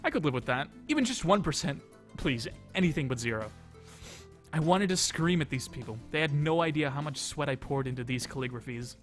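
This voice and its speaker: Higher-pitched man narrating a game over music. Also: animated, a little fast. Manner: dramatized storytelling with casual asides. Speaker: let's play video host reading the game text aloud. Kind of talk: expressive read-aloud narration of a visual novel. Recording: music behind the voice.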